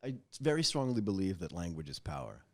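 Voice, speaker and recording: A middle-aged man hosting a podcast interview, chatty and animated. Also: low-pitched, fairly quick. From Boston, USA. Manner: animated, chatty, laughs along. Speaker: podcast host. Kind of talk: conversational interview.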